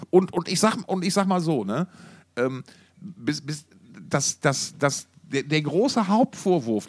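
A man talks in a very lively, swooping voice, recorded close and dry. He co-hosts a casual music podcast and speaks colloquially, with plenty of slang and interjections. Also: fairly high pitch, dry recording. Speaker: podcast co-host.

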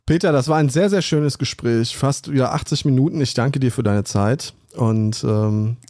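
A man's voice: medium pitch, normal speed, a little mellow, no echo, studio quality. A man hosting a podcast interview, asking long, winding questions and thanking his guest. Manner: asks long, rambling questions. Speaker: podcast host.

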